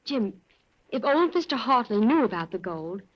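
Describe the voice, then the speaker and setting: A woman's voice: on the high side, very animated, moderate pace. An actress playing a loyal, worried young female assistant in a 1940s radio drama. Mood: earnest. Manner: eager, worried. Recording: dull, noisy 1940s radio recording.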